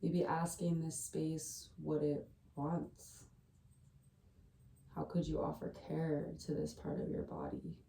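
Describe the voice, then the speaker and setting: Female meditation guide: very soft dark tone, even low-set delivery, gentle and unhurried, echoey room, a bit away from the mic. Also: vocal fry, far from the mic, slight background noise. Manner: gentle, hushed, unhurried delivery with long pauses. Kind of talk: slow, soothing guided meditation. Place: indoors at a family home.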